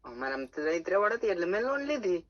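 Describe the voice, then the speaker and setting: A man's voice: high voice, sing-song, fast, dry recording. A man with a Saurashtra Gujarati accent arguing casually on a phone call, stubborn and blunt. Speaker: prank call target, car owner.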